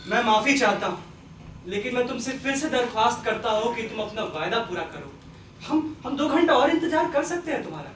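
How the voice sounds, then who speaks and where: A man on stage playing a forgiving, gently moralising father, speaking politely with measured insistence. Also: very high-pitched, lively intonation. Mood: polite, insistent. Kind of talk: Hindi stage play acting. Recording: echoey, distant stage recording with noise.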